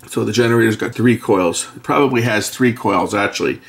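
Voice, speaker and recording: A middle-aged man giving a casual hands-on narration as an electronics repair presenter, speaking haltingly as he thinks aloud at an indoor workbench. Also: fairly low pitch, gravelly, a bit bright. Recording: faint background noise, workbench clicks. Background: faint noise, clicks of handled parts.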